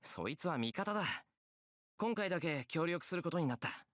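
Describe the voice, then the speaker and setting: A man's voice: fairly high pitch, animated, rapid-fire, a bit bright, dry recording, studio quality. A man voicing an earnest, hot-headed teenage boy hero in a Japanese visual novel. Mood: urgent. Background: game music.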